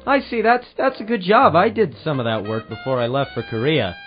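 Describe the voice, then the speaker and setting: An actor playing a hard-boiled, wisecracking private eye in an old-time radio detective drama, with a wry, sardonic delivery. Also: male voice, fairly high pitch, a little fast, lightly acted. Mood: wry, chatty. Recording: muffled amateur radio-drama recording with music.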